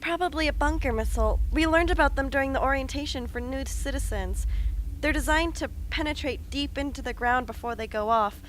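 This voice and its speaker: A woman playing a gentle, perceptive young girl in an amateur radio drama. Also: sits high, brisk, slight background noise, natural voice, poor audio. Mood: explaining.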